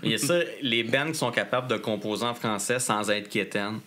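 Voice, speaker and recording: A man's voice: lowish voice, a little fast, a crowd in the background. A man with a Québécois French accent, a band member guest who chats enthusiastically in casual slang. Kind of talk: casual interview chat.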